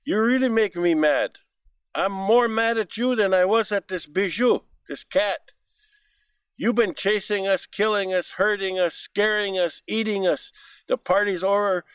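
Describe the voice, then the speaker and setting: A swaggering, unkempt rabbit, put on by a storyteller, shouts his grievances in a voice pitched well above the narrator's. He is boastful and quick to anger. His voice sounds a little distant from the mic. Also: clean tone, no echo. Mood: furious. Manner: angry, scolding, swaggering. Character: drunk, scruffy, boastful male rabbit.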